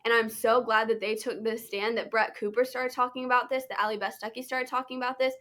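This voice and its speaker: A woman's voice: a little high, level tone, fast talker, a bit bright. A young American woman, a podcast guest, giving her opinions in an earnest, emphatic way. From United States. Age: teens. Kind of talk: podcast interview.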